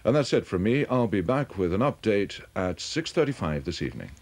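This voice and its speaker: A British man reads a regional television news bulletin. His voice is very low and he keeps to a scripted newsreading delivery. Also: big pitch swings, a little fast, very noisy. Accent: British English.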